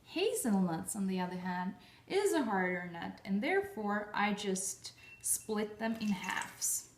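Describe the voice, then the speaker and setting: A woman's voice: mid-range voice, very animated, unhurried, a little hiss or hum. A young woman from Russia demonstrates a recipe in her home kitchen, chatting casually with lots of fillers and restarts. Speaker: cooking vlogger. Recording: echoey kitchen, voice far from mic.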